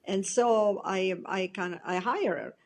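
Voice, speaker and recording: A middle-aged woman with an Italian accent talks casually as an interview guest. She speaks in a halting way, restarting her sentences and laughing lightly between thoughts. Her voice sits at an ordinary pitch for a woman. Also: vocal fry, a little mellow. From Italy. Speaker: guest author.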